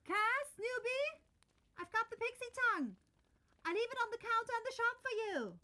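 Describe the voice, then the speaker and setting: Woman doing a tiny, extremely high bright fantasy helper. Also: lively intonation, normal speed, no echo, heavily acted. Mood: helpful, calling. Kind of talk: fantasy audio roleplay acting.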